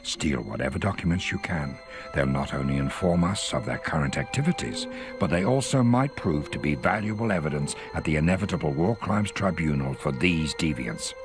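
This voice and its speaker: Allied briefing officer, war game. Very deep voice, lively inflection, grave and commanding with dry wit, music underneath. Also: male voice. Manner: measured, authoritative, dryly contemptuous of the enemy. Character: male Allied intelligence officer briefing an agent, wry and grave. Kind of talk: scripted video game mission briefing.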